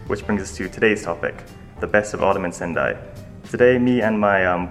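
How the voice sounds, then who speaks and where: A man's voice: low voice, roomy sound. A man with an Irish accent co-hosting a radio show, chatting casually with many fillers. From Ireland. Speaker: radio co-host.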